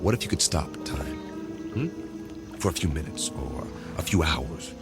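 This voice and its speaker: A very deep, commanding spaceship captain, speaks in a measured, musing way. He is a steady leader in a science fiction radio play, with clear music playing behind him. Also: heavily acted. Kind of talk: dramatic science fiction audio drama.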